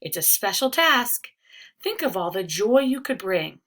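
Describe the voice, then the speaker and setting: Woman reading as an upbeat, encouraging big cousin, animated. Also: mid-range voice, a little slow, full character voice. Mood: enthusiastic. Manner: reassuring, enthusiastic, rhyming storybook delivery. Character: encouraging, upbeat older male cousin advising a child.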